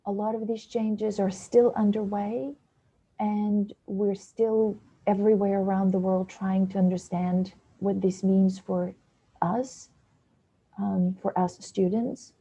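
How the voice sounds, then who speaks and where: A woman's voice: mid-range voice, little pitch movement, slow, mellow, husky, muffled recording. A woman from Bulgaria giving an earnest, practical talk to students over a video call. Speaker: guest speaker, essay coach. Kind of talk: webinar talk.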